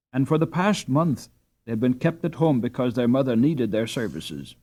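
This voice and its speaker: A man's voice: medium pitch, darkish tone. A man reading a classic children's novel aloud as scripted audiobook narration.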